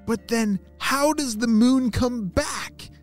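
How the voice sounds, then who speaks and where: A woman's voice: fairly high pitch, slow, faint music behind, voice pushed up. A woman voicing an eager, curious young boy in a children's story, with exclamations and hesitant stammers. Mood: nervous, curious.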